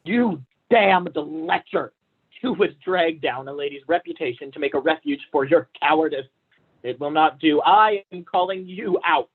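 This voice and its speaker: A man's voice: fairly high pitch, lively intonation, moderate pace, phone-quality sound. A young man, a student actor, playing a pompous, vain, blustering poet and husband in a staged reading of a period comedy, speaking with stiff formality. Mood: outraged. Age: young adult.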